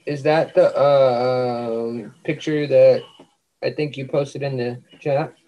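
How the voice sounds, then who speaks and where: A man chatting casually on a livestream, relaxed and halting, laughing often between remarks. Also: medium pitch, muffled recording. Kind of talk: casual group chat on a livestream.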